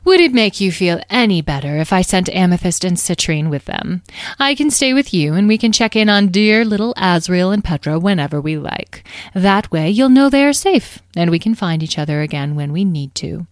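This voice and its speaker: A woman's voice: lowish voice, animated, moderate pace, dry recording, clean studio sound, natural voice. A woman voicing a bossy, snobbish fairy leader in a storybook, with grandiose, emphatic phrasing and biting wit. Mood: brisk, dry. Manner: grandiose, gushing, emphatic, sarcastic.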